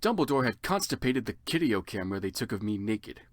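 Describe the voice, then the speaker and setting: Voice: mid-range voice, sing-song, brisk, no echo. A narrator giving a theatrical, exaggerated comedic reading of fan fiction.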